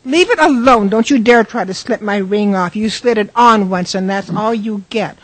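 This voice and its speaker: A woman acting the part of a touchy, playful wife in a radio drama, with a husky voice. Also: medium pitch, moderate pace, no room sound, close to an everyday voice, poor audio. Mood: angry, defensive. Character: touchy, playful, anxious wife of a bar owner.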